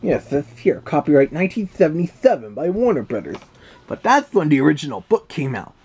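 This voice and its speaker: A man's voice: on the high side, sing-song, fairly quick, a little mellow, husky, full character voice. A man voicing a sarcastic male cartoon cat who reviews a children's read-along, talking with a slurred lisp and dry asides. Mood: wry. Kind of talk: fan review commentary in character. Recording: faint music underneath.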